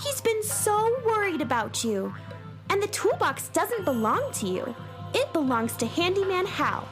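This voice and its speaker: A high, animated young cowgirl heroine speaks with earnest, storybook sincerity. It is children's radio theater, with music clearly playing underneath. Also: unhurried, exaggerated voice. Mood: earnest, concerned. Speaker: narrator and lead actor. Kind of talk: children's radio drama acting and narration.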